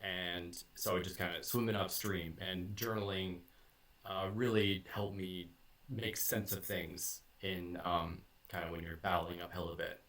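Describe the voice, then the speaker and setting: A Canadian man, a bassist, chatting as a podcast guest in a relaxed, rambling way. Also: unhurried. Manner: relaxed, rambling, with many fillers and restarts. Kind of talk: casual interview chat.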